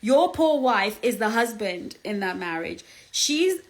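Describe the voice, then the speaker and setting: A woman's voice: fairly high pitch, a little slow. A woman from Zimbabwe with a Zimbabwean accent, a relationship coach giving emphatic casual advice and often checking in with her listeners. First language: Shona. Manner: emphatic, lively, laughs often, repeats "okay?" and "do you understand?".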